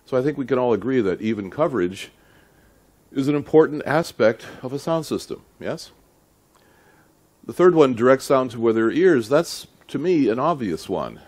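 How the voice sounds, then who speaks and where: A middle-aged man lecturing at a seminar in a deliberate, emphatic but conversational way, often checking in with his listeners. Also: mid-range voice, expressive, a little mellow. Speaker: audio engineering lecturer.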